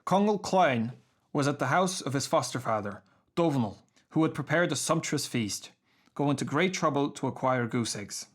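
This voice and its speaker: A man reading scripted narration for a radio series, measured and precise in his diction. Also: medium pitch, lively intonation.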